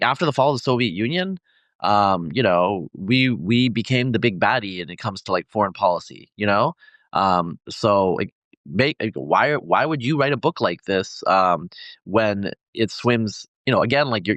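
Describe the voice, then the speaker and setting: Host dude asking a long rambly question, um like you know everywhere, lowish voice, dry sound. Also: male voice, a bit bright, dry recording. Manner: rambling, filler-heavy, halting questions. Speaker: podcast host. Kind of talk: casual interview questions.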